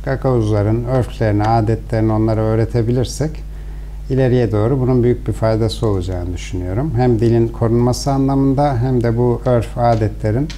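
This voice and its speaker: A man speaking as an aid agency official in a formal interview statement, pausing with fillers. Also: mid-range voice, steady tone, mellow, slight background noise.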